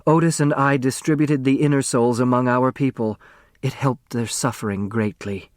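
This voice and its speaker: A man's voice: mid-range voice, fairly even intonation, moderate pace, dry recording, clean studio sound, natural voice. Man as a frail, solemn, pious woman. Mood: solemn gratitude.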